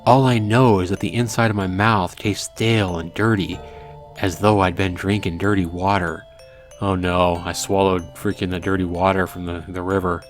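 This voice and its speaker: A man hosting a let's play, reading a visual novel aloud in a dramatic way and adding hushed asides. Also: low voice, fairly even intonation, normal speed. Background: game music.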